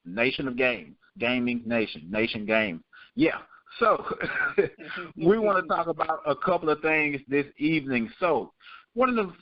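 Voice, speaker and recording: A man's voice: fairly high pitch, very animated, unhurried, no echo, muffled recording. A man hosting a casual panel show, chatty and familiar with his guests. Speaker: show host.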